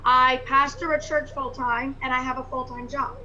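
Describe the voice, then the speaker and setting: Bright high-voiced woman on a call. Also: level tone, moderate pace, highs cut off, slight background noise. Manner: earnest, thinks aloud with many fillers. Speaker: pastor and prospective student. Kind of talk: casual orientation call.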